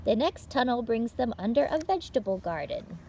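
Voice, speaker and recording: Animated, higher-voiced woman reading to children. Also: normal speed. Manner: encouraging, simple phrasing addressed to small children. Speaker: children's librarian, story time host. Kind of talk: animated read-aloud storytelling for young children.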